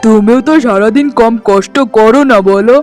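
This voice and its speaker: A man voicing a gentle young village farmer and devoted husband in a cartoon, mild and folksy. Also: high voice, normal speed, close to an everyday voice. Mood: affectionate. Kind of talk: cartoon voice acting. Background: music.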